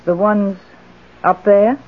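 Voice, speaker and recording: An actress playing an adoring, lonely middle-aged widow in a 1970s radio drama, with a breathless, pleading, genteel manner. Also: female voice, mid-range voice, fairly even intonation, slow. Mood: anxious, lonely. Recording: muffled old radio broadcast recording.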